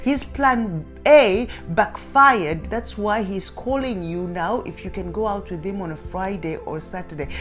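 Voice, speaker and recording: A middle-aged woman giving relationship advice straight to the listener in an emphatic, instructive way, repeating her key points. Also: fairly high pitch. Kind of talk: direct-to-audience advice talk.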